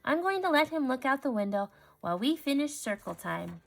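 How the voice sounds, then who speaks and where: A kind storybook schoolteacher played by a woman reader explains things with patience and gentleness. Her voice is fairly high, with music underneath. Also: sing-song, a little fast, gravelly, full character voice. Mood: patient. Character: kind, patient female teacher and aunt.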